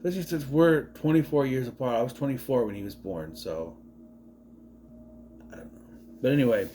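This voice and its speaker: A man's voice: mid-range voice, fairly quick, dark tone, slight background noise. A man in his late thirties giving a casual movie review, rambling, swearing freely and wandering off on tangents. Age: 30s.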